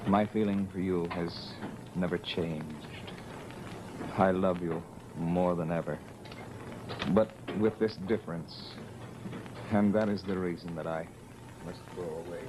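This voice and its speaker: An actor playing a grave, brooding stage lover, delivering a theatrical monologue in a solemn, underplayed way on a noisy vintage broadcast. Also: male voice, low voice, steady tone, unhurried, pauses often. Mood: grave, subdued.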